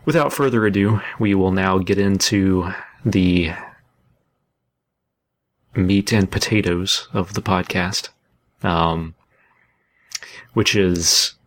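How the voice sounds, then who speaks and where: A man hosting a casual podcast in a halting, self-deprecating way. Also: deep voice, fairly bright tone, dry recording. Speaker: podcast host.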